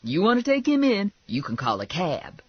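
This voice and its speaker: A man voicing a brash, sassy, loudmouthed woman with blunt, slangy, indignant talk in an audiobook. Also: sits high, huge pitch swings, moderate pace, slightly rough, no echo, muffled recording, pitched above the performer's own voice, full character voice. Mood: disgusted, bossy.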